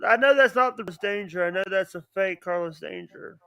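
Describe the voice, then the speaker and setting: A man chatting casually as a livestream guest, halting and often repeating his phrases as he goes. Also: high voice, gravelly, pushed and bright, clean tone, no echo. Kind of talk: casual chat.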